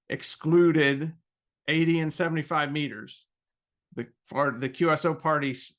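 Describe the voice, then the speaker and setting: A man with a somewhat higher-pitched voice explains rules in an informal presentation. His phrasing is halting, with frequent ums and restarts as he works through each point. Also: fairly bright tone. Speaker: club president presenting contest rules.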